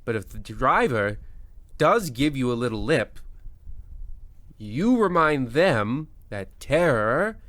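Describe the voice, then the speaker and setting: A man's voice: medium pitch, very animated, fairly bright tone, dry recording. A man hosting and narrating a comedy horror radio show in a theatrical, mock-spooky way, drawing out his lines dramatically. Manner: theatrical, drawn-out dramatic pauses. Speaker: narrator and host.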